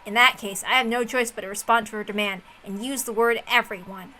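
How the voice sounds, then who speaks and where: A woman voicing a brooding, calculating male sorcerer in a visual novel, speaking in a serious, deliberate, dramatic way. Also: a little high, fairly even intonation, sharp tone, a little hiss or hum, low-quality recording.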